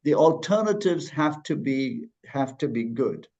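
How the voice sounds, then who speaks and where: A man from Malaysia talks in a thoughtful, halting way, restarting his sentences as he works through his points. His voice sits at a middling pitch, and the recording sounds dull and lo-fi. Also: expressive, mellow. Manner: thoughtful, many restarts and fillers. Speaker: guest. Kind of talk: conversational interview.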